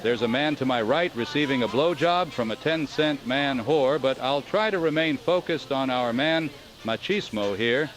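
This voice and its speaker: A man's voice: mid-range voice, moderate pace, lightly acted. Man playing an earnest on-scene radio reporter. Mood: earnest, appalled. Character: earnest, scandalized live radio news reporter. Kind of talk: mock on-scene news report.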